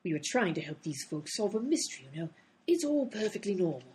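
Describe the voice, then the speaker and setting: A woman's voice: mid-range voice, very animated, fast, darkish tone, a little hiss or hum, close to an everyday voice. A woman playing an exasperated, sarcastic time traveler in a comedy radio play. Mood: explaining.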